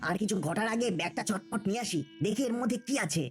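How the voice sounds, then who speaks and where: A woman's voice: medium pitch, fast talker, music in the background, natural voice. A woman voicing a bold, scheming young boy in a cartoon, eager and conspiratorial. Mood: curious, secretive.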